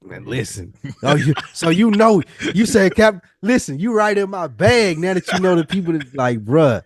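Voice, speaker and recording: A man's voice: sits high, big pitch swings, moderate pace, no echo, clean studio sound. A man in his forties telling personal stories in casual podcast chat, slangy and profane, repeating phrases for emphasis. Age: 40s. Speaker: podcast co-host.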